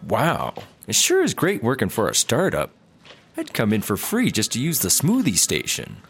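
A man's voice: medium pitch, wildly swooping pitch, normal speed, a bit bright. A man playing a laid-back, perk-loving startup slacker who is eager to please, in a comedy audio drama. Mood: contented. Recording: background ambience and noticeable noise.

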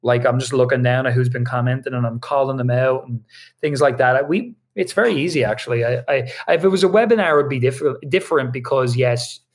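Man answering in quick, loose bursts. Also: medium pitch. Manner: quick, loose, many restarts and fillers. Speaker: podcast guest, online business co-founder. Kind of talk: casual interview answers. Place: home video studio with lights.

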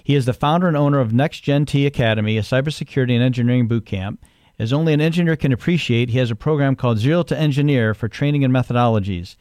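A man's voice: medium pitch, rapid-fire, a little mellow, no echo. A man hosting a podcast, introducing a guest and asking loose, rambling questions. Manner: friendly, rambling questions with restarts. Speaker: podcast host. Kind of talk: casual interview.

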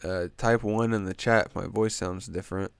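A man's voice: low-pitched, steady tone, normal speed, vocal fry, clean tone, no room sound. A young man hosting a solo podcast, congested and stuffy-nosed, joking crudely and drifting off on tangents. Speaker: podcast host. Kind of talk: rambling solo podcast chat.

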